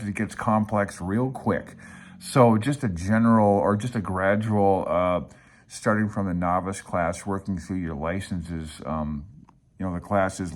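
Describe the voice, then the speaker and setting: A man in his sixties giving casual, rambling commentary as he pages through a book, laid-back and reminiscing as he thinks aloud. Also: deep voice. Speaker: amateur radio hobbyist presenting a handbook.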